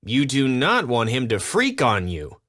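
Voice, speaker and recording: A man's voice: mid-range voice, huge pitch swings, unhurried, a bit bright, heavily acted. A man voicing a persuasive, scheming lemur aide with a coaxing, emphatic manner in a children's storybook. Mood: warning.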